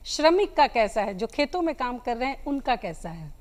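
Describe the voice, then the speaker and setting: A woman with a Bihari accent, explaining folk songs as an interview guest in a gentle way with hesitant pauses. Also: fairly high pitch, sing-song, a loud crowd. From Magadh region of Bihar, India.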